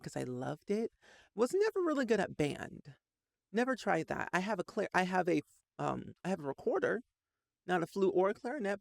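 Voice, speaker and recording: A man's voice: fairly high pitch, vocal fry, dry recording, studio quality. A man in his late twenties telling a personal story in a casual, rambling way, full of "like" fillers. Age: 20s. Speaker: Sims content creator.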